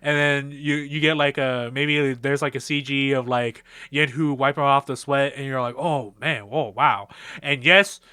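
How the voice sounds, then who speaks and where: A man's voice: medium pitch, little pitch movement, moderate pace, hardly any pauses, pushed and bright, clear, resonant tone, dry recording, studio quality. A man casually improvising a story in a slangy, playful, conversational way. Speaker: content creator.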